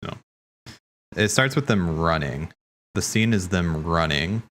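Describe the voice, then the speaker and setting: A man's voice: low-pitched, animated, unhurried, a bit bright. A man hosting a casual watch party, rambling and thinking aloud in slangy speech as he comments. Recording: game music playing behind. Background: video game cutscene music.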